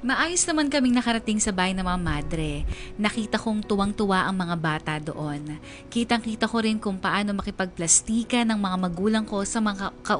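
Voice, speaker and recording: A woman's voice: medium pitch, close to an everyday voice. A young woman narrating as a troubled, confused daughter confiding in a Filipino radio drama. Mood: resigned.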